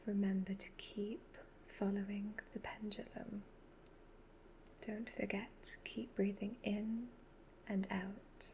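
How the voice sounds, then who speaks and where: A woman playing a sly, commanding evil witch fortune teller in a soft-spoken ASMR roleplay, half-whispering slow, measured instructions. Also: mid-range voice, level tone, slight background noise. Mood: soothing, commanding.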